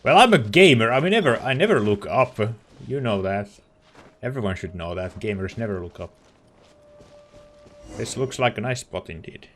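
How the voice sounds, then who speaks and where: A man's voice: on the low side, expressive, normal speed, a bit bright, a little hiss or hum. A man giving casual let's play commentary, reacting aloud in a playful, self-deprecating way.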